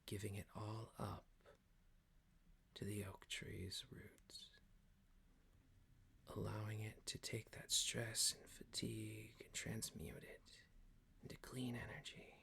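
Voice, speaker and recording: Male ASMR meditation guide, low and creaky, breathy half-whisper, soothing delivery with long pauses. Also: fairly even intonation. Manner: soothing, hushed, lingering pauses.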